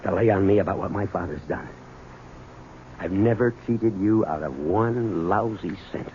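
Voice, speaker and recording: An actor playing a bitter, weary gas station owner with a gruff, curt, colloquial manner in a 1970s radio drama. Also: male voice, on the low side, moderate pace, mellow, natural voice. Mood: angry, confrontational. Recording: tinny, noisy old radio broadcast recording.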